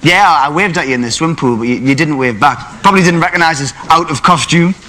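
Brief: a man as a cheeky, flirty superhero, bright forceful voice, long hall echo. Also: fairly high pitch, fast, slight background noise. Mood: teasing.

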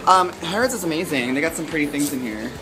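A man's voice: fairly high pitch, animated, quick pace, loud music behind the voice, rough recording. A man who performs as a drag queen, from the United States, chatting playfully on a travel vlog while filming himself with a handheld camera. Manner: playful, campy, says darling. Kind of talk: casual travel vlog chat. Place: out and about in city streets and shops. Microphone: handheld camera at arm's length.

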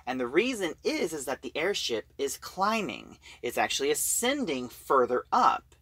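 A man giving an informal story recap as a reviewer, talking in a halting, conversational way. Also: fairly high pitch, very animated, sharp tone, no echo. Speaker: audio drama reviewer.

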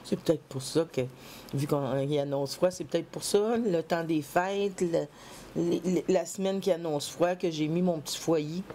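A woman from Quebec with a head cold, chatting casually while decorating a planner, halting with many fillers. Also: low-pitched, mellow, slight background noise. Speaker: planner vlogger. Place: home craft table, indoors.

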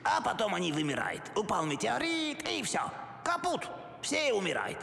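Cartoon penguin inventor: kindly eccentric, foreign accent, broken grammar, gravelly, very animated pitch, echoey hall. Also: male voice, moderate pace, a little hiss or hum, heavily acted. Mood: excited explaining. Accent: foreign accent in Russian. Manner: broken grammar, emphatic explaining. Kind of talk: animated film character acting. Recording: echoey hall with music behind.

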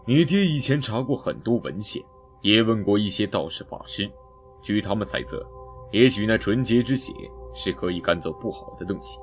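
A chiding older relative played by a man recalls the heir's childhood in a fan audio drama. His voice sits low yet rises and falls with lively animation. Faint music plays underneath. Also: moderate pace, close to an everyday voice. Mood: reminiscing. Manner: chiding, reminiscing.

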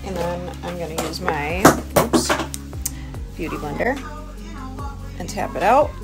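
A woman's voice: fairly low pitch, measured pace, gravelly. A woman in her thirties giving a casual, chatty makeup tutorial, explaining informally as she works. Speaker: beauty vlogger. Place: small cabin room by a window. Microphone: phone at arm's length. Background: music.

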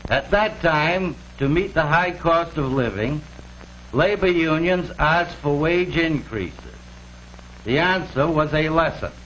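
A man's voice: on the high side, animated, takes it slowly. A man reading scripted 1940s-style labor film narration in a formal, declamatory manner. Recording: noisy old archival film soundtrack.

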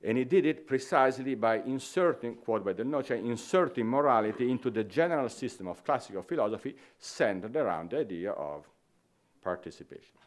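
A middle-aged man with an Italian accent gives an academic conference lecture at a podium, reading dense prose in a measured way with small restarts. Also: medium pitch, expressive. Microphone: podium microphone.

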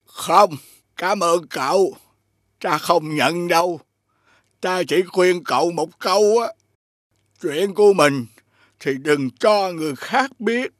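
Slow, high-voiced old father. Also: no echo, clean studio sound. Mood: earnest. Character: kindly, cautious, poor old father. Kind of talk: animated fairy-tale voice acting.

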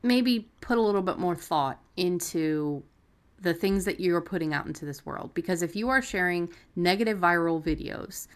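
Female podcast co-host, slightly low voice, unscripted and blunt, conversational with salty language. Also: expressive, normal speed. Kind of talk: casual unscripted discussion.

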